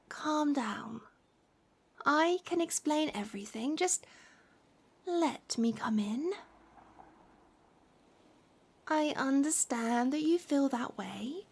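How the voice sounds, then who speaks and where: Woman acting a high-voiced, lovestruck possessive witch. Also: exaggerated voice. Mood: insistent, controlling. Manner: cooing, coaxing, condescending with pet names. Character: lovestruck possessive witch, sweetly menacing and obsessive. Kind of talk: ASMR roleplay acting.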